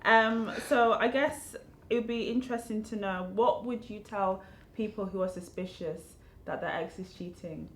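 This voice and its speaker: A woman's voice: medium pitch, normal speed, few pauses, echoey room, crowd noise. A British woman hosting an interview, asking questions in a laughing, encouraging way. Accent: British English. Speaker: host. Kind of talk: interviewing guests.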